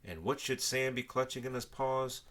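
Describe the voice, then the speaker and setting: An older man reading a children's story aloud with expressive narration. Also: medium pitch, normal speed.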